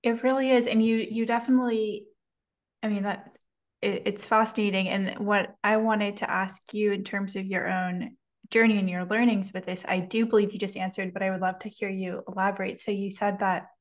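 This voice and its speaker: A woman hosting a podcast interview, asking questions in a friendly, relaxed way. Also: mid-range voice, normal speed. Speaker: podcast host. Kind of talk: casual interview.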